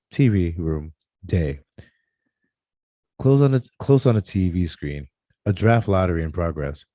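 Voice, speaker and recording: Male narrator for a script read: very low, soft-edged, dry recording, slightly stumbling delivery. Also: no echo. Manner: stumbles over words and corrects himself. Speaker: reader of stage directions at a script table read.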